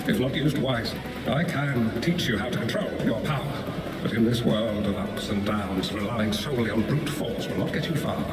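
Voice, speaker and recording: A man voicing a wise, fatherly hermit mentor in a video game, speaking in a measured, formal way. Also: medium pitch, close to an everyday voice. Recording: echoey, distant, loud music behind.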